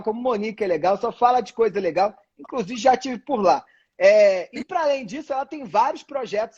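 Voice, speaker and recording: A man's voice: sits high, a little mellow, husky, lo-fi sound. A Brazilian man hosting a casual live online chat, effusive and informal, often repeating his phrases. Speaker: host. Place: online live video call.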